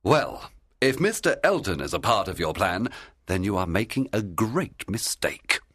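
An actor playing a stern, sensible gentleman in a storybook drama, blunt and scolding. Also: fairly low pitch, very animated, a little slow, husky, heavily acted. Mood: indignant.

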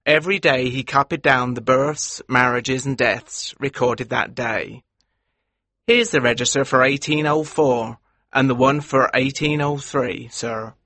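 An actor playing a chatty, helpful village parish clerk, respectful in manner, in a dramatised audiobook. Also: male voice, medium pitch, steady tone, measured pace, sharp tone, no room sound.

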